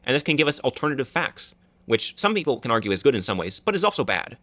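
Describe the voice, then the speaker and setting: A man's voice: medium pitch, very animated, quick pace, fairly bright tone, no room sound. A male student giving a class project presentation, explaining plainly with small restarts.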